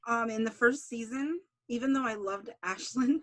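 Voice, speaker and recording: A woman's voice: on the high side, moderate pace, fairly bright tone. A young adult woman talks casually in an interview about her own creative work. Her delivery is informal and unscripted, and she pieces her thoughts together aloud in loose fragments. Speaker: interviewee, writer and show creator.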